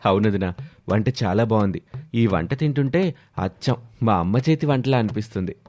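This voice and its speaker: A man's voice: on the low side, lively intonation, moderate pace, a little mellow, no room sound. A man voicing a scheming guest in a cartoon moral story, polite and ingratiating as he feigns kinship.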